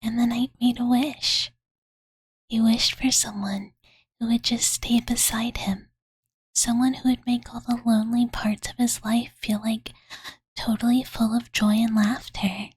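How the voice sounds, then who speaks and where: A woman voicing a playful, doting young dragon girl in soft, breathy ASMR whispers, with cutesy, ditzy phrasing, close to the microphone. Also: fairly high pitch, little pitch movement, unhurried, dry recording. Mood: gentle storytelling. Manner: ditzy valley-girl filler talk, cutesy third-person self-reference. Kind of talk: whispered ASMR roleplay bedtime storytelling. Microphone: close Blue Yeti condenser mic.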